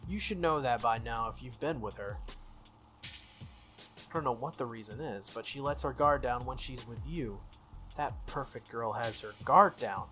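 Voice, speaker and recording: A video game player reading text aloud and commenting casually, with a slightly halting delivery and stumbles. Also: mid-range voice, pushed and bright. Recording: music behind the voice. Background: background game music.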